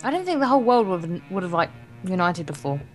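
A woman playing an excitable, ambitious schoolgirl in a visual novel, gushing and earnest. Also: on the low side, fast talker, faint music behind. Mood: earnest, insistent. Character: excitable, ambitious schoolgirl dreaming of world rule.

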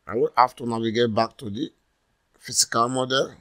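A man from Nigeria presenting a software tutorial as a voice-over for a screen recording, talking deliberately and often saying "all right". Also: mid-range voice, fairly even intonation.

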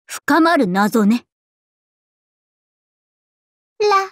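Super high girly anime game princess, haughty n bubbly, swoopy delivery, close dry sound. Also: big pitch swings, unhurried, no room sound. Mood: playful, musing. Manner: haughty, theatrical, playful, self-admiring. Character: vain, bubbly young princess idol singer, self-styled Cinderella. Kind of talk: Japanese anime mobile game voice acting.

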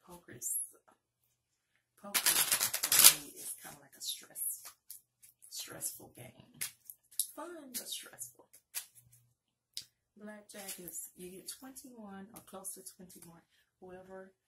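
A middle-aged woman doing a casual, flirty ASMR roleplay as a card dealer, chatting in an unhurried, conversational way close to the microphone. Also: medium pitch, animated, heavy background noise. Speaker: ASMR performer playing a blackjack dealer.

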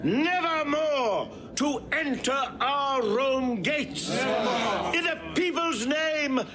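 An actor playing a stern, accusing Roman tribune, proclaiming deliberately in classical Shakespearean drama. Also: male voice, very high-pitched, lively intonation, heavily acted. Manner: declamatory, deliberate, public proclamation. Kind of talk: classical Shakespearean stage acting. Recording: noisy old recording with crowd.

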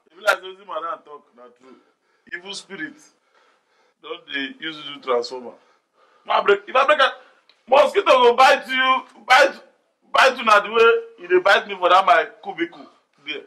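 High-voiced, grumbling Nigerian gatekeeper. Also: male voice, lively intonation, normal speed, sharp tone. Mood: grumbling, comic. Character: cheeky, muttering, superstitious male gatekeeper. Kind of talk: comic film acting in Pidgin English.

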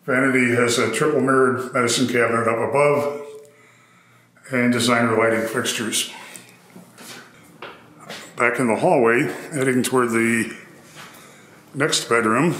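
A man narrating a walkthrough of an empty apartment, describing each room in an upbeat real-estate pitch. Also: steady tone, unhurried, pauses often, a bit bright, slight background noise. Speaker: apartment tour host. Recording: echoey empty rooms.

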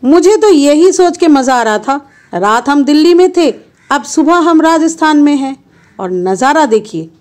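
A woman's voice: high voice, animated, moderate pace, a little mellow. A woman narrating a road-trip travel vlog in a chatty, playful way, filming on a handheld phone from a car and at roadside stops. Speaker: travel vlogger.

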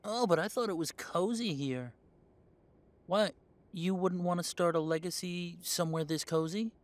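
Defiant, higher-pitched young man played by an actor. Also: normal speed, close to an everyday voice. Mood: sarcastic. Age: young adult. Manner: sarcastic, indignant. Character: defiant, sarcastic young werewolf streamer, estranged heir. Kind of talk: audio roleplay drama acting.

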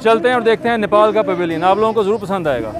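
A man's voice: high voice, rapid-fire, a crowd in the background. A man casually narrating a travel vlog outdoors at a busy expo, chatting straight to his viewers like friends. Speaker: travel vlogger.

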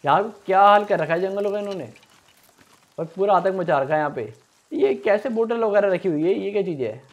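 A man's voice: high voice, lively intonation, a little fast. A young man giving casual let's play commentary, thinking aloud and repeating himself as he plays. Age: young adult. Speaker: gaming streamer.

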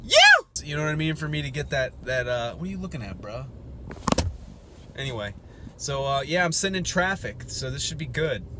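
A man's voice: a little high, animated, brisk. A young man chatting casually to the camera while driving, rambling and joking with goofy outbursts, filmed at arm's length. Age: young adult. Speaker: fishing vlogger. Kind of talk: casual vlog talk to camera. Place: inside a pickup truck while driving. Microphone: phone or action camera at arm's length. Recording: road noise from a moving car.

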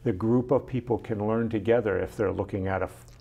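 Animated middle-aged man, interview guest. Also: fairly low pitch, fairly quick, vocal fry, husky, dull audio. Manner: enthusiastic, gestures a lot, frequent ums and restarts. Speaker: science center director, guest. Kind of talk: casual interview chat. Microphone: lapel mic.

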